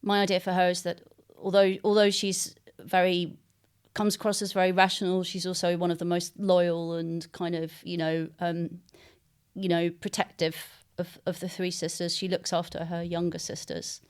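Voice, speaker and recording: Softly even-toned woman, low and hesitant. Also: normal speed, smooth. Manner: hesitant, thoughtful, searching for words. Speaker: interviewed novelist. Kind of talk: conversational literary interview.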